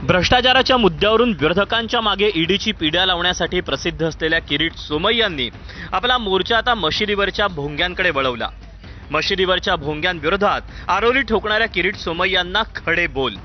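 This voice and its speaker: A man's voice: sits high, lively intonation, a little fast. A man reads scripted news narration in a dramatic style. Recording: slightly dull sound over music. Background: background music.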